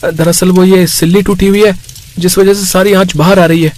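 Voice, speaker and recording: A man's voice: high-pitched, flat delivery, brisk, darkish tone, natural voice. A man voicing a meek, hesitant poor cook apologising to his master in a cartoon story. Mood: nervous, apologetic. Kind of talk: Hindi cartoon story acting.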